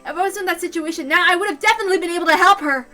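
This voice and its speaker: An anguished young police officer, acted out by a woman, pours out guilt in a dramatic story reading. The voice is high and bright, tearful and impassioned, with music behind it. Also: very fast. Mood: anguished anger. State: crying, howling. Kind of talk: dramatic reading of a visual novel.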